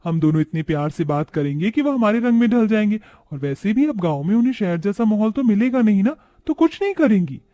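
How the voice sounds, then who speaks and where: A man plays a dutiful young village daughter-in-law in an animated tale. The voice is high-pitched. She speaks gently and respectfully, in a reassuring manner. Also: a little fast, hardly any pauses.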